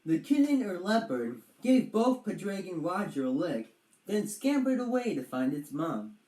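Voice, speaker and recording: Children's read-along narrator, expressive and scripted, mid pitch, roomy recording. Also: measured pace. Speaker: storybook narrator.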